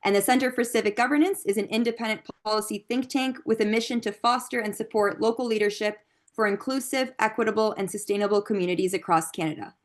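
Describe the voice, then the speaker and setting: A woman's voice: mid-range voice, fast talker. Young woman hosting a video call. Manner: friendly, with frequent um and uh. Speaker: host. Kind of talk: hosting an online meeting.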